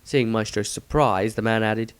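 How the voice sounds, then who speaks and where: A man's voice: on the low side, fairly even intonation, moderate pace, clean tone, no echo. A man reading a scripted fantasy story as its narrator. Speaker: author and narrator.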